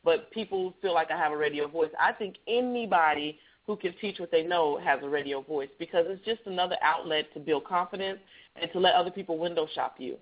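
A man's voice: a little high, brisk. A male radio show host with a heavy voice, chatting loosely in an interview. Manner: says he has a heavy voice; chatty, rambling with restarts. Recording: tinny phone-like line.

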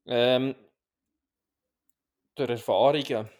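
A man with a Swiss German accent, co-hosting a podcast in a calm, reflective chat with many restarts. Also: mid-range voice, extremely slow. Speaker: podcast co-host.

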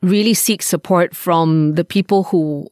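A woman in her sixties from Malaysia co-hosting a podcast. She speaks in a fairly low voice, measured and thoughtful, often pausing with small fillers as she reflects. The recording is close and dry, with no room sound. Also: a little mellow, no room sound. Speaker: podcast co-host.

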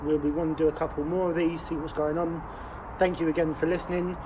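A man's voice: a little high, flat delivery, quick pace, noisy background. A man, co-hosting a casual podcast chat. He rambles, swears a lot and laughs often. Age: late 20s. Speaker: podcast co-host.

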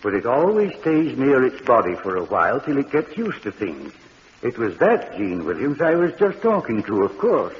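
An actor playing a kindly old morgue keeper who speaks with the dead, in a soft, earnest, patient manner, in 1940s radio drama style. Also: male voice, medium pitch, wildly swooping pitch, normal speed. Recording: dull old radio recording in a reverberant studio, faint hiss.

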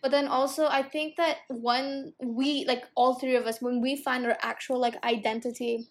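Woman co-host raised in Singapore, higher pitch, hesitant and filler-heavy casual talk. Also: level tone, normal speed. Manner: hesitant, many fillers and restarts. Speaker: podcast co-host. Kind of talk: casual discussion.

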